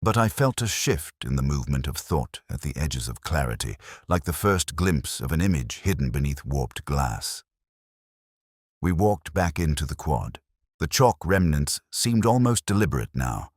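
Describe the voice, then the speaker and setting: Very deep, brooding detective narrator played by a man. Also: big pitch swings, breathy edge, no echo, clean studio sound, heavily acted. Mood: intent, uneasy. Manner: measured, solemn, reflective. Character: brooding, introspective male police detective, first-person narrator. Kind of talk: literary scripted mystery narration.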